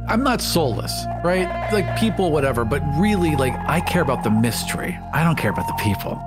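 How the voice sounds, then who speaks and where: A man's voice: on the high side, very animated, a little fast, loud music behind the voice. A man playing a tabletop game, improvising casually in the first person as his character. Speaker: player on a tabletop podcast.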